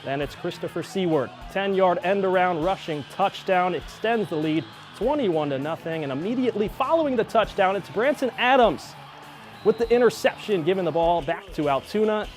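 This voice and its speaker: A man working as a sports anchor, narrating game highlights from a script with an emphatic play-by-play delivery. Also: expressive, moderate pace, soft background music.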